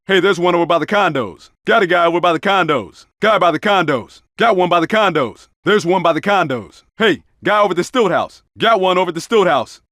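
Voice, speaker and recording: An actor playing an undercover cop in a video game, giving short, clipped tactical callouts. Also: male voice, high-pitched, fairly bright tone, dry recording, clean studio sound. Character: gruff, alert male undercover cop in gunfight. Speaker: video game player character.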